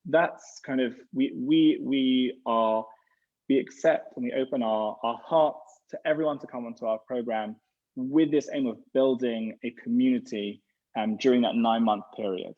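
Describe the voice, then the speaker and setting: Rabbi, mid-pitched man, rambly casual answers on a lo-fi video call. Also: normal speed. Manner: rambling, restarts often. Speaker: rabbi representing a gap year program. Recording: dull lo-fi sound.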